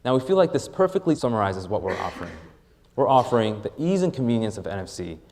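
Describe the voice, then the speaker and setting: Guy pitching his startup on stage, lowish voice, really animated, deliberate emphatic phrasing. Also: slight background noise. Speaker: startup presenter. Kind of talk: rehearsed product pitch presentation.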